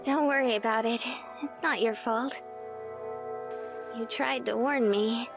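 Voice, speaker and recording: A woman voice acting a gentle, earnest young woman in a visual novel, with a hesitant, pleading manner. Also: on the high side, unhurried, gravelly. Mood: forgiving. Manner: soft-hearted, hesitant, pleading. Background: background music.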